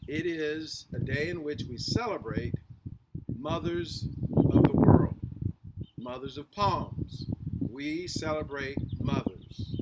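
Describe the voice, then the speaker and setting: A man hosting and telling a story in an earnest, deliberate way, with pauses and repeated phrases. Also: fairly high pitch, very noisy. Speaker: host and storyteller. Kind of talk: earnest address and read-aloud storytelling. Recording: echoey, distant microphone.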